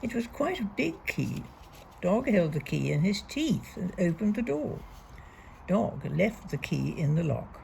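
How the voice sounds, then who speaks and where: Slow, creaky-voiced woman reading a children's storybook. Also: fairly low pitch, slight background noise, low-quality recording. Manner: deliberate, expressive read-aloud with emphasised key words. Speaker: storybook reader. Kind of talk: reading a young children's picture book aloud.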